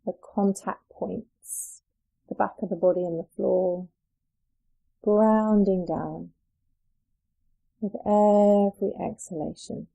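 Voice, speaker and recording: A woman with a dark, relaxed, mellow voice leads a guided relaxation. She sounds like a yoga teacher, soothing and gentle, leaving unhurried pauses between phrases. Her pitch sits in an ordinary middle range for a woman. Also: vocal fry, poor audio.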